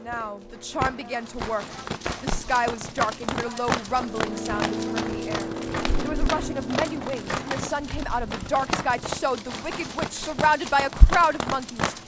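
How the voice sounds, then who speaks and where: A woman's voice: fairly high pitch, moderate pace, lightly acted. A woman playing the storyteller of a fairy-tale audio play, narrating in a theatrical, scripted way. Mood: storytelling. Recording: live stage show with loud music underneath.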